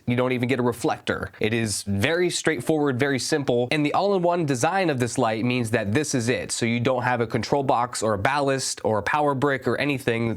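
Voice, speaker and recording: A young man with a stuffy, congested voice, giving a casual, enthusiastic review of a studio light. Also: mid-range voice. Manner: casual, enthusiastic about gear. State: stuffy, under the weather. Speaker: gear reviewer.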